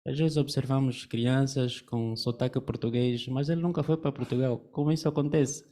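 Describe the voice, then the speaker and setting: A man's voice: mid-range voice, fairly even intonation, normal speed, mellow. A man giving a reflective comment at a religious study meeting, explaining his thoughts with rhetorical questions. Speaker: congregation member giving a comment.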